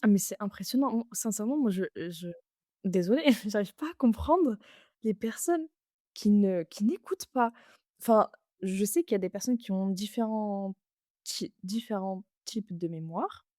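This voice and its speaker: A woman's voice: medium pitch, expressive, normal speed, mellow, dry recording, clean studio sound. A woman hosting a podcast interview, earnest and hesitant with many fillers. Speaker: podcast host. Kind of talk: interview conversation.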